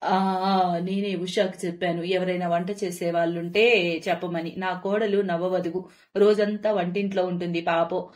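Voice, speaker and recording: A woman voicing a middle-aged mother-in-law, doting yet firm, with a measured, motherly manner, in a story. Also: on the low side, level tone, a little mellow, voice pushed down, natural voice. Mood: caring.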